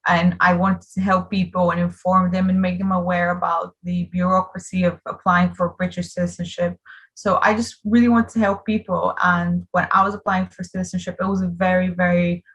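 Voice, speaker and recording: Woman, late 20s. Slightly low register, earnest and emphatic interviewee speaking conversationally. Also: flat delivery, brisk, a bit bright, rough recording. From Bulgaria. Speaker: interviewee, political activist.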